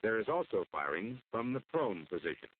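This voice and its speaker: An American man delivers formal, measured scripted narration for an instructional military training film. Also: fairly low pitch, very animated. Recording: muffled phone-like old film soundtrack.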